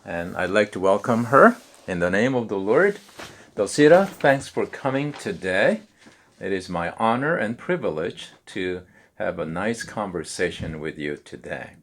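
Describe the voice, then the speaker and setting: A man's voice: lowish voice, big pitch swings, measured pace, a bit bright. A male pastor who interviews a church guest and encourages her with short affirmations. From Korea.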